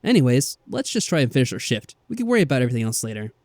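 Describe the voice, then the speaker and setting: A man voicing a kindly young female coworker in a visual novel, gentle, practical and reassuring. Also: mid-range voice, big pitch swings, rapid-fire, no echo, clean studio sound.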